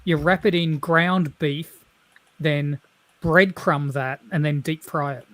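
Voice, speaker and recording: A man's voice: fairly high pitch, fairly even intonation, slow pace, a bit bright, dry recording. A man on an online panel, chatting casually and explaining things.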